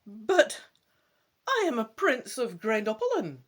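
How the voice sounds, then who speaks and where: A man voicing a petulant young prince and apprentice wizard, loudly complaining in a dramatic gamebook reading. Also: extremely high pitch, huge pitch swings, slow, pitched above the performer's own voice, full character voice. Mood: indignant. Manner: loud, complaining.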